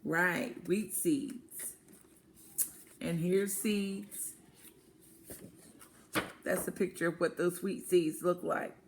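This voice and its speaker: A woman's voice: lowish voice, fairly even intonation, a little hiss or hum. A woman reading a picture book to young children as a teacher, asking questions, affirming answers and explaining words simply. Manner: asks questions, pauses, then affirms answers. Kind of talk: interactive picture-book read-aloud lesson.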